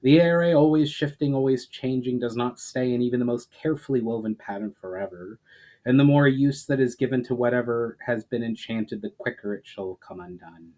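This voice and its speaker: A man voicing a grave, watchful elf warrior-mage in a storybook reading, speaking formally, grimly and deliberately. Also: medium pitch, dark tone, natural voice, low-quality recording.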